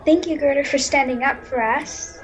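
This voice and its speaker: A polite, soothing young adventurer played by a girl in a fantasy radio play. The voice is high, heard through dull, lo-fi call audio. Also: moderate pace, faint music behind, close to an everyday voice. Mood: reassuring. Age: child, 10 to 13. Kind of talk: amateur radio-serial fantasy acting.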